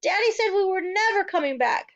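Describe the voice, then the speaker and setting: A woman voicing a bright, distressed little girl of about five, with a high, breathless, pleading way of crying out. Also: lively intonation, measured pace, lo-fi sound. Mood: distraught. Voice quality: high and breathless when upset. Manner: pleading, urgent cries.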